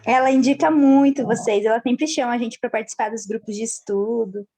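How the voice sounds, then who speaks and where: A woman's voice: a little high, fairly even intonation, a little fast, dark tone, slight background noise, poor audio. Friendly young woman student from Cuiabá. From Cuiabá, Brazil. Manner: friendly, laughs easily. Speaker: speech therapy student. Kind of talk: casual chat on a video call.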